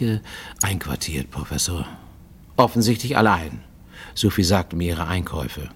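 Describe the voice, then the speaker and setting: A man's voice: mid-range voice, breathy edge. An actor playing a wary, greying Japanese man in hiding abroad, confiding in a formal, insistent way in a radio play monologue. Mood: amused, probing. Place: studio radio production.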